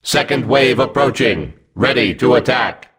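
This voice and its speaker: A man voicing a hulking combat robot in a video game, gruff and mechanical, giving clipped, vengeful commands. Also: mid-range voice, animated, measured pace, fairly bright tone, exaggerated voice. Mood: cold, commanding.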